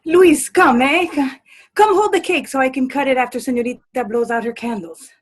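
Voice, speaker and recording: An actress playing a warm, cheerful, doting household servant in a dramatic play reading, fond and exclamatory. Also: female voice, high voice, normal speed. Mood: cheerful, coaxing.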